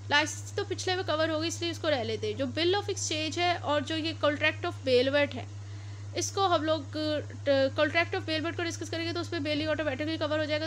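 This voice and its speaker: A woman's voice: sits high, fairly bright tone, a little hiss or hum. A woman giving a law lecture, explaining step by step and often stopping to correct herself. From Pakistan.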